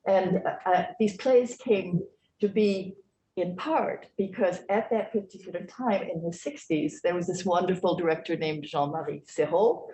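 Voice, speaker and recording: A woman's voice: fairly low pitch, unhurried, warm tone, roomy sound, low-quality recording. A woman professor moderating a panel conversation, explaining thoughtfully with some fillers.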